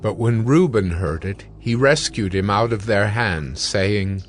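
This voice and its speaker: A man narrating scripture in a measured, solemn storytelling style. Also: fairly low pitch, animated, soft background music. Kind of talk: scripted dramatic Bible narration.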